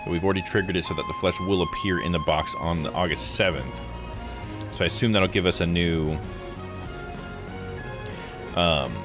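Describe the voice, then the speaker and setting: A man with a low voice gives casual game commentary over prominent background music. He sounds laid-back and jokey, thinking aloud and paraphrasing as he goes. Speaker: streamer playing a visual novel. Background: video game music.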